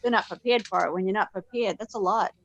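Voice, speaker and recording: A woman chatting casually in a group conversation, quick and conversational, explaining things to the others. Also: medium pitch, muffled recording, slight background noise.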